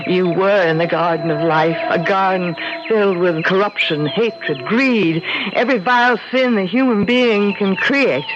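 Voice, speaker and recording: Woman acting death as a serene lady, slow solemn teacher talk, old muffled radio play with loud music. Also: mid-range voice, close to an everyday voice. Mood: grave, stern. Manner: solemn, slow, formal phrasing.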